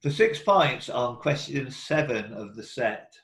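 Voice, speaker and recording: Echoey, lively male quiz host. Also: medium pitch, unhurried. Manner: chatty, informal, breaking off into asides. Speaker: quiz host and question reader. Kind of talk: reading quiz questions with casual banter. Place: indoor room, online video call. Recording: roomy echo.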